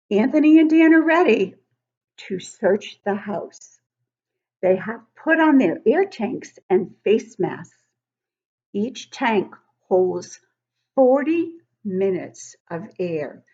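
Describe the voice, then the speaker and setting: Animated woman reading slowly to young children. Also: medium pitch, breathy edge, lo-fi sound. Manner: animated, explanatory, child-directed. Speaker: read-aloud book club host for young children. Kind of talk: expressive picture-book reading with simple explanations.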